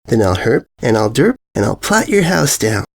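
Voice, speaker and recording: A man's voice: medium pitch, big pitch swings, moderate pace, vocal fry, husky, heavily acted. A man voicing a ditzy grown mare from a rhyming storybook who pleads in singsong calls. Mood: sad, threatening.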